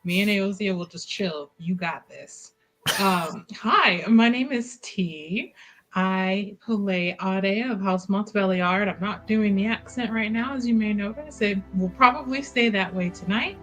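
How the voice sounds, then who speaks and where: A woman's voice: mid-range voice, unhurried, fairly bright tone, faint music behind. Wry woman joking among players. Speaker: tabletop player. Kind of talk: casual chat introducing her character.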